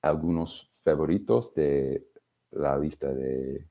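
Slow, halting man with a deep voice. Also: warm tone. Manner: halting, searching for words, many fillers. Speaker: singer-songwriter guest. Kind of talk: interview answers.

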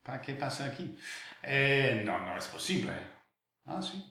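Radio guest, 60s English man, slow, gravelly, halting second-language speech. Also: mid-range voice, very animated, sharp tone, echoey room, a little hiss or hum. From England. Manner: halting, many hesitations and restarts.

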